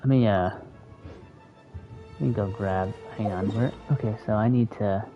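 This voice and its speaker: A man's voice: fairly low pitch, moderate pace. A man doing casual livestream gameplay commentary, muttering and thinking aloud to himself. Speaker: game streamer. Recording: dull lo-fi microphone, music behind. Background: game music.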